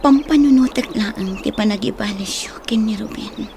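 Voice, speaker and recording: A woman's voice: medium pitch, normal speed, darkish tone, slight background noise, lightly acted. A woman playing an affectionate young sweetheart in an Ilocano-accented radio drama. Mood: tender, breathy. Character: Sinay, affectionate young woman courted by two men. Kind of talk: Philippine radio drama acting.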